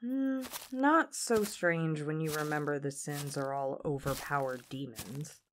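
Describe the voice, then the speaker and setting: A woman in an audio drama playing a proud, guarded demon princess with a solemn, formal, measured manner. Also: sits low, slight background noise. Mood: stern, matter-of-fact.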